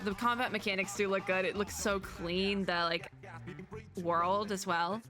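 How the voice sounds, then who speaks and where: A woman doing casual reaction commentary as a VTuber, chatty, expressive and slangy. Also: mid-range voice, measured pace, over music.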